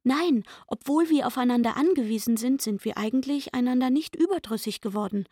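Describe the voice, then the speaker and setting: A woman's voice: high voice, fairly quick, dark tone, dry recording, studio quality. An actress playing a coaxing, wistful young working girl in a radio play, confiding and eager as she speaks. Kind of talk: literary radio play acting.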